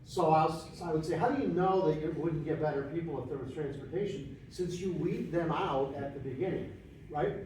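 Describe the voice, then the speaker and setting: A man's voice: fairly high pitch, a little fast, darkish tone. A man presenting findings to a panel audience, in a conversational way with frequent restarts and fillers. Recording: echoey room, mic far off, faint noise.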